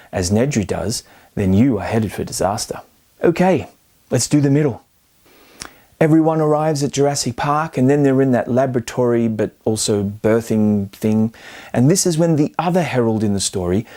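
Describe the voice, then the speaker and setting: Man narrating film analysis, softened mellow tone. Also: medium pitch. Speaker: film commentary host. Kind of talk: scripted video-essay narration.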